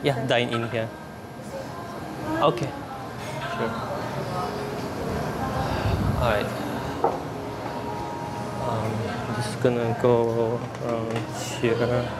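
A man's voice: mid-range voice, little pitch movement, very slow, long pauses. A man vlogging casually with a handheld camera, talking loosely with many fillers, first in a hotel room and then out on a city street. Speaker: travel vlogger. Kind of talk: casual walking vlog. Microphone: handheld camera on small tripod. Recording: loud street and room noise.

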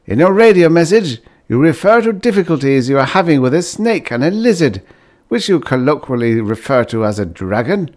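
A man's voice: fairly high pitch, sing-song, normal speed, darkish tone, voice pushed up. Officious bureaucrat chief played by a male narrator: formal memo delivery, emphatic swooping intonation, storybook.

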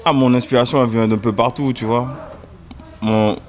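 A man in his thirties from the French Caribbean, a music video director, talking casually in an interview, relaxed and hesitant with many fillers. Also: mid-range voice, normal speed. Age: 30s. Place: indoor room. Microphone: desk mic in front.

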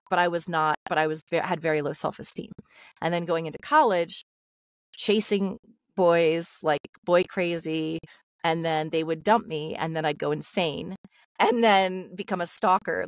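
A woman's voice: fairly low pitch, no echo. A middle-aged woman, a voice actor, telling her life story candidly on a video call, with many fillers and restarts. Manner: open, confessional, lots of fillers and restarts. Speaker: guest, voice actor. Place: home room, video call. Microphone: studio mic on stand.

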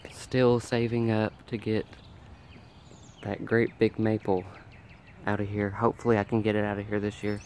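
A man's voice: on the low side, flat delivery, normal speed, a little mellow, a little hiss or hum. A man with a nasal, allergy-congested voice chats casually as he films a walk through his backyard garden. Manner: chatty, thinking aloud while filming. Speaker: garden vlogger.

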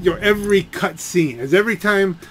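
Higher-pitched man rambling over game noise. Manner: complaining, halting, repeats words. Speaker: gaming video creator. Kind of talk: rambling gameplay commentary. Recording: game and engine noise behind voice.

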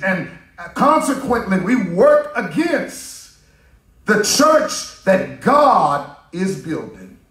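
A middle-aged man preaching a sermon from a pulpit microphone in a church, building his cadence up to shouts. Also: high voice. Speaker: pastor. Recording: roomy church from a distance.

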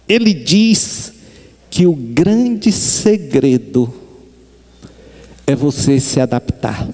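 A middle-aged man from Brazil giving a spiritist lecture, telling stories with lively gestures and acting out the voices he quotes. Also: a little high. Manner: animated storytelling, acts out quoted voices, gestures broadly. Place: stage with sunflower backdrop, large hall. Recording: reverberant hall.

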